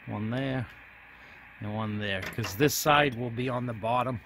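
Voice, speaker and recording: A man with a mid-pitched voice narrates his own hands-on project in a casual, plain-spoken way. He explains each step simply, as if filming on a handheld camera. There is faint noise behind his voice. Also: gravelly. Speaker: DIY hobbyist video host.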